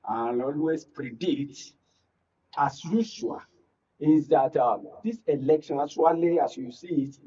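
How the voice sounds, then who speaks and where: A Nigerian man with a husky, mellow and slightly high voice talks as a panel guest. His delivery is halting and repetitive, with frequent checks that listeners follow. The recording is muffled and a bit distant. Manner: halting, repetitive, punctuates with "you understand". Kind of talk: political discussion on a radio and TV panel. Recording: muffled, distant-mic, lo-fi studio pickup.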